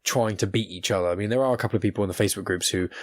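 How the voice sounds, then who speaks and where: Fast-talking low-voiced man. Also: no echo. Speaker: podcast host. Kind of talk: casual interview chat.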